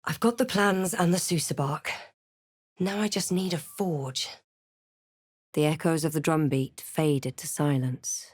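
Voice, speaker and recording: Brooding female fantasy game adventurer: slightly low, unhurried, dryly witty, theatrical delivery, very dry studio sound. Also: a little mellow, breathy edge, dry recording. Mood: wry, snarky. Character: female adventurer hero, snarky, brooding, spell-casting. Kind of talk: fantasy video game voice lines, narration and barks.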